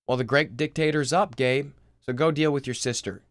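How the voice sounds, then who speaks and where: A man's voice: mid-range voice, moderate pace, no echo, clean studio sound, lightly acted. A man voicing a brash, self-obsessed father in his forties, boastful and exasperated, in a screenplay reading.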